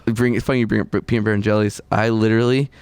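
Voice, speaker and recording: A young man with a gravelly, fry-heavy voice co-hosting a podcast. He tells jokey stories in relaxed chat, and the recording is dry and close with no room sound. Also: lowish voice, rapid-fire, dry recording. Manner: joking storyteller, laughs often. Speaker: podcast co-host. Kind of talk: casual chat.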